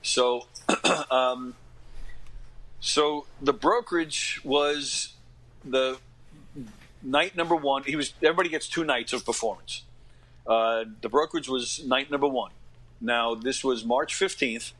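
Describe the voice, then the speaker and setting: A middle-aged man telling casual anecdotes as an interview guest, acting out what people said and often clearing his throat. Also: medium pitch, slow pace, poor audio. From New York area, USA. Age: late 40s to 50s. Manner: animated retelling with acted-out quotes and frequent throat-clearing. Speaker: podcast guest, actor and stand-up comic.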